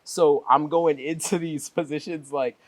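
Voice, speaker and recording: A man's voice: a little high, fairly even intonation, measured pace, poor audio. A young man from the United States, a guest in a casual interview, telling stories with lots of "like" and "you know". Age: young adult. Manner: storytelling, many "like" and "you know" fillers.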